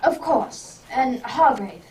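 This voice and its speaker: A woman playing a brisk, commanding, inquisitive male detective in an amateur radio drama. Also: high-pitched, expressive, mellow, far from the mic, a little hiss or hum, low-quality recording. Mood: decisive.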